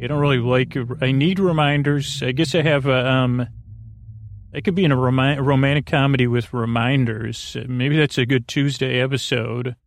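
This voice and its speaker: A man hosting a bedtime podcast, talking in a meandering, halting, repetitive way that trails off into tangents, with a creaky voice. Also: medium pitch, fairly even intonation, no room sound. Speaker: podcast host. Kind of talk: rambling bedtime podcast monologue.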